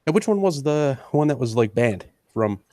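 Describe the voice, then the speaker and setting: Loud joking man on a dry mic. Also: mid-range voice, big pitch swings, moderate pace, no room sound. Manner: loud, jokey, swearing. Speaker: podcast co-host. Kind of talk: crude casual chat.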